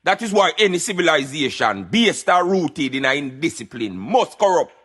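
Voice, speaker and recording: A man's voice: a little high, big pitch swings, moderate pace, fairly bright tone, slightly rough. A Jamaican man giving opinionated conversational commentary on a radio show, in patois with emphatic interjections.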